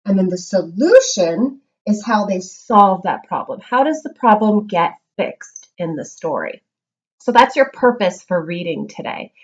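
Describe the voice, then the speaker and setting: Woman, medium pitch, primary reading teacher, instructional, expressive read-aloud for children, dull lo-fi sound. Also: measured pace. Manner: instructional, simple explanations with check-ins; expressive, emphatic storybook reading.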